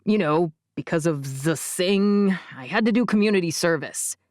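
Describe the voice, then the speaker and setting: A woman's voice: fairly low pitch, lively intonation, normal speed, darkish tone, no room sound, clean studio sound, lightly acted. A woman doing a sneering cocky teen boy. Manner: sneering, dismissive.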